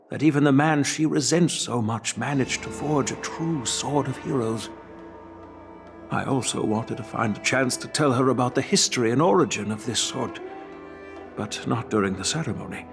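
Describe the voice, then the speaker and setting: Man playing aged grandfather swordsmith, game cutscene, measured and grave, mid pitch, soft music under. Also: gravelly, natural voice. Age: older. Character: wise elderly male master swordsmith, grandfather. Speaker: game character. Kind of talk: video game cutscene voice acting.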